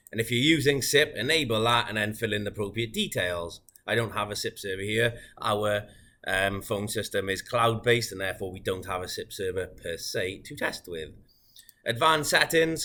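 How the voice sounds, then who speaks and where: A man giving an informal, methodical, unscripted software walkthrough as a product demonstrator. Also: on the low side.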